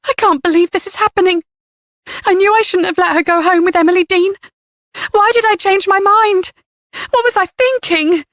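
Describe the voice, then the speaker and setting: Frantic tearful mother, voiced by a woman, high and pleading, in a close, dry read. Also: little pitch movement, normal speed, few pauses, sharp tone, no room sound. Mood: distraught. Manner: trembling, high and strained.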